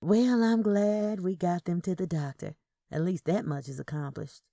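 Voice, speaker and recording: A woman's voice: on the low side, normal speed, creaky, mellow, no echo, studio quality. A woman voicing a polite, plain-spoken married niece in a first-person audio story, courteous in manner. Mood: relieved.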